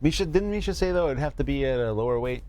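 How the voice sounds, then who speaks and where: Lively-voiced man, quick to laugh. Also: mid-range voice, background noise. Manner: laughs often, short agreeing replies. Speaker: podcast co-host. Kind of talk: casual chat.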